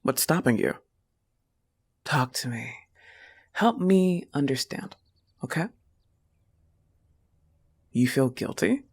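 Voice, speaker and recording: A woman's voice: a bass voice, lively intonation, takes it slowly, pauses often, darkish tone, husky, heavily acted. A woman voicing a protective, affectionate young goth wolf-girl girlfriend in an intimate audio roleplay, coaxing and reassuring the listener with a firm manner. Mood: reassuring, gentle.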